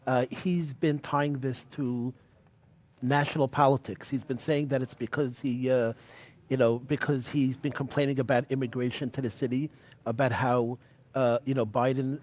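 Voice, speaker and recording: A man who writes about politics, answering interview questions in a measured way, with frequent pauses and "you know.". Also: mid-range voice, fairly even intonation, a little mellow. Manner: measured, many fillers and restarts.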